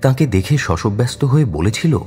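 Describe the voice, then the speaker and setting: A man's voice: mid-range voice, animated, moderate pace, darkish tone, soft background music. A man narrating a crime audio story in a dramatic, scripted style.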